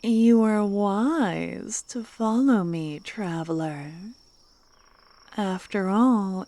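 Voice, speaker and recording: A woman voicing a flirtatious, mysterious female fortune teller in an ASMR roleplay, teasing in a soft whisper. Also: fairly low pitch.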